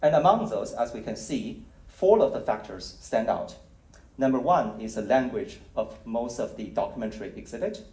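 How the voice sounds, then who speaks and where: A man presenting survey results at a conference, speaking in a measured, explanatory way with occasional fillers. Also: mid-range voice, animated, warm tone. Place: conference hall. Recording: roomy hall audio, mic at a distance.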